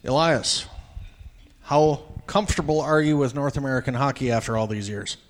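A man hosting a live radio broadcast from a banquet event, emceeing and asking players questions in a brisk, chatty, joking way. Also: mid-range voice. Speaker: radio play-by-play host.

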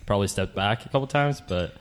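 A man's voice: fairly low pitch, animated, fast. Hesitant young man. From Sweden. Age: 20s. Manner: hesitant, many fillers, modest. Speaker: college hockey player.

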